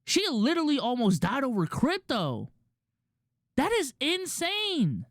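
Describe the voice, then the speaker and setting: Streamer dude w a filipino accent riffing to chat, slangy, keeps restarting, dead dry mic. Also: male voice, very high-pitched, wildly swooping pitch, a little slow, no room sound. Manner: loose slangy talk with many restarts. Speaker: streamer and podcast host. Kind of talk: casual commentary to a live chat.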